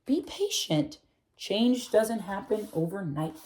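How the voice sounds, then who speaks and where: A woman voicing a calm, patient mother who is a marine biologist, speaking gently and reassuringly. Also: medium pitch, slow pace, pitched above the performer's own voice. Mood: soothing.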